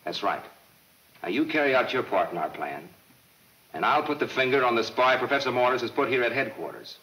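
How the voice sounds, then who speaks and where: An actor playing a shrewd, determined police detective in a 1940s movie serial, clipped and businesslike. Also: male voice, mid-range voice, expressive, brisk, sharp tone, a little hiss or hum, poor audio. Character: shrewd, determined male police detective running a secret plan. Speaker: film actor. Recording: old 1940s film soundtrack, music behind.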